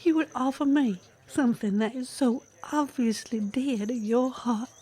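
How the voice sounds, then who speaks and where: A woman's voice: a little high, normal speed, mellow, slightly rough. A woman playing a melodramatic country mother in a comic audio drama, gushing and genteel. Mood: coy, swooning. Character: fluttery, melodramatic country farm wife and mother.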